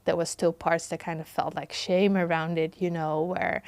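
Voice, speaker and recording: A woman's voice: lowish voice, little pitch movement, normal speed, darkish tone, smooth, dry recording. A young adult woman with a Dutch accent, a podcast guest talking casually and reflectively, full of "like" and "you know". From Netherlands. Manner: reflective, lots of "like" and "you know", laughs often. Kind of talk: casual conversation.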